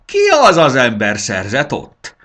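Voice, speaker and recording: A man voicing a cantankerous, blustering ancient dragon from a children's storybook, with a croaking, bellowing delivery. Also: lowish voice, huge pitch swings, sharp tone, heavily acted. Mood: suspicious curiosity. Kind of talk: dramatized children's storybook reading.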